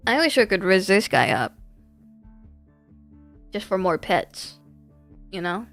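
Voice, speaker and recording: A woman streams a video game with a very unhurried, gap-filled delivery. She talks casually, half to herself, hesitating and trailing off as she thinks. Her pitch sits in an average range, with faint music playing behind her. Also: big pitch swings, a bit bright. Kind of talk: casual livestream gameplay commentary, muttering choices to self.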